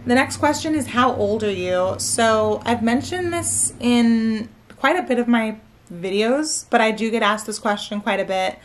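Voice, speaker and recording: A woman's voice: on the high side, a little slow. A woman in her late twenties, a nursing student from Maryland, chatting casually to camera at home at night. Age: 20s. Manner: relaxed, chatty, frequent "like" and "so". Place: living room floor at home, indoors. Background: faint room ambience, heater hum.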